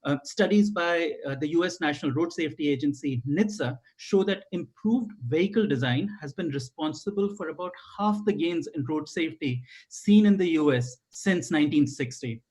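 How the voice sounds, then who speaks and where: Indian man, somewhat high voice, earnest webinar moderator on a video call, hesitant fillers. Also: moderate pace, few pauses, darkish tone, slightly rough, low-quality recording. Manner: earnest, personal, many fillers and restarts. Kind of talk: introducing a guest.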